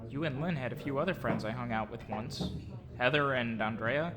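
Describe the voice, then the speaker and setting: A man's voice: mid-range voice, moderate pace, noisy background, lightly acted. A man playing his guarded, reluctant eighteen-year-old self in an audio drama, with terse, unsure delivery. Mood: casual, friendly.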